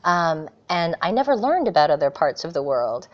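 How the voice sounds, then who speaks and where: Low-voiced American woman, thoughtful. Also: very animated. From California, USA. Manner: earnest, thoughtful, with frequent pauses and fillers. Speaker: guest. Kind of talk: reflective interview answers. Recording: dull lo-fi remote audio.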